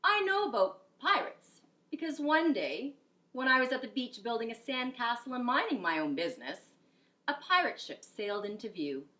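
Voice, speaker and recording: A middle-aged woman reading a picture book aloud as a teacher, expressive and explanatory, with deliberate delivery. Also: fairly high pitch. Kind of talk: expressive children's story reading.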